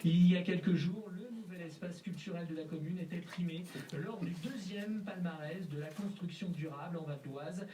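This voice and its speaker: A man's voice: sits high, normal speed, a crowd in the background. Male news reader, prerecorded flash, distant and echoing. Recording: distant, echoey, loud noise.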